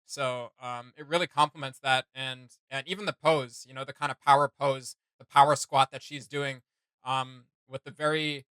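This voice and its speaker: A man's voice: medium pitch, steady tone, normal speed, sharp tone, smooth, no room sound. A man hosting a casual reaction video, chatting in an enthusiastic, rambling and slangy way.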